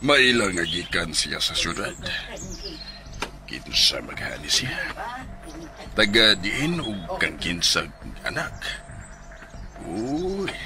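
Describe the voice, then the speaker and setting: A man acting in a radio drama as a gossipy, leering henchman who chuckles and mutters to himself, eager to please his boss. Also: on the low side. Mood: scheming. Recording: loud music behind the voice.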